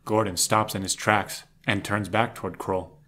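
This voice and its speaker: Low-pitched male narrator. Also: fairly even intonation, normal speed, sharp tone. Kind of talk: scripted screenplay narration.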